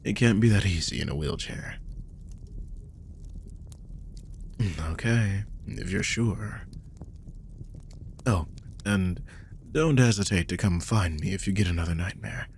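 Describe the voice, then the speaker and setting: A man playing a guilt-ridden, tender supernatural protector in an intimate audio drama, speaking gently and hesitantly with a husky, breathy voice that slips toward whispers. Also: sits low, moderate pace, a little hiss or hum. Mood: tender concern. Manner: gentle, hesitant, sighing delivery.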